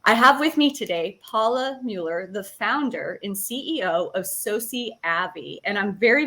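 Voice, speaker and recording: A woman hosts an online interview, speaking at a middle pitch. She introduces her guest with upbeat courtesy and keeps the session moving along. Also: sharp tone. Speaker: webinar host.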